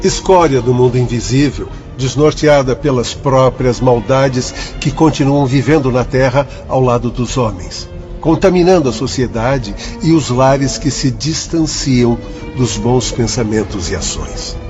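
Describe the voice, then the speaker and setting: An earnest spirit helper, voiced by a man, declaims in a middle pitch. Soft music sits under a slightly muffled radio-drama recording. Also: unhurried, natural voice. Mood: declaiming.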